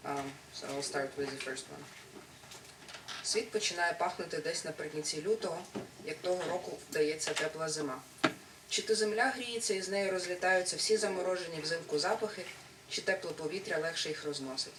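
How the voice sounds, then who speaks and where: Man, soft hesitant poetry reading, fairly high voice, echoey room, far from mic, loud background noise. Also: fairly quick. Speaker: workshop participant reading poems. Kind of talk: casual intro then poetry reading.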